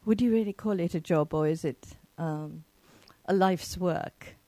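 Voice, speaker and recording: Low, mellow-voiced English woman host. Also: a little slow, no echo. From England. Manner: rambling questions with fillers. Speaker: podcast host. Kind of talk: casual interview.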